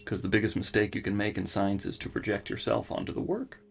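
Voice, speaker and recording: Male scientist for sci-fi audio drama: low, creaky voice, cerebral, deliberate, melancholy. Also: flat delivery. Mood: earnest, confessional. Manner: halting, deliberate, formal wording.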